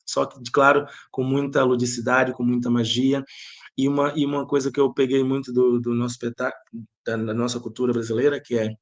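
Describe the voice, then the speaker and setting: A man's voice: medium pitch, level tone, fairly quick. A man from Bahia with an accent mixing Bahian and European Portuguese, a playwright and director thoughtfully answering interview questions, often restarting his sentences. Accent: Bahian Portuguese mixed with European Portuguese. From Bahia, Brazil. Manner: thoughtful, searching for words with many restarts.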